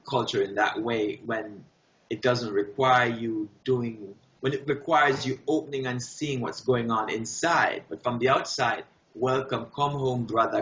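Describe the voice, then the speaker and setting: A man speaking as an interview guest, giving impassioned, emphatic answers in short, deliberate, declarative phrases. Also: mid-range voice, fairly bright tone. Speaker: guest artist. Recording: dull, roomy echoing sound.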